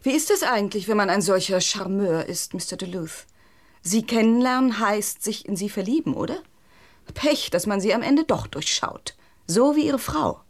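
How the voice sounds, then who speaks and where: Woman, hostile protective sister, medium pitch, sarcastic, cutting, German radio play. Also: animated, moderate pace. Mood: scornful. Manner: cutting, scornful. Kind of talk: German radio drama acting.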